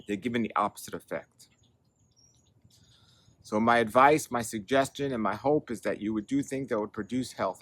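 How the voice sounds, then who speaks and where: A man's voice: mid-range voice, no room sound. A middle-aged man hosting a health show, giving an earnest motivational talk that urges and repeats for emphasis. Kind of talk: motivational monologue to camera.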